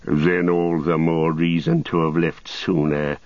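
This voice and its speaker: Guy doing sneering old fallen wizard for an audiobook, cracked deep voice, kinda muffled and echoey. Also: level tone, darkish tone. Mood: cold disdain. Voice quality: cracked, husky. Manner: mocking, drawn-out archaic phrasing.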